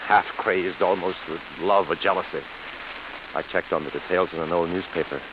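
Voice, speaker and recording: A man acting a low-voiced, haunted artist. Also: steady tone, natural voice. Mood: morbid, insinuating. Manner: theatrical, halting, repeats words in mounting agitation. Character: sleepless, haunted, obsessive male artist, pleading and morbid. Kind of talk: golden-age radio horror acting. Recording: muffled, hissy old radio recording.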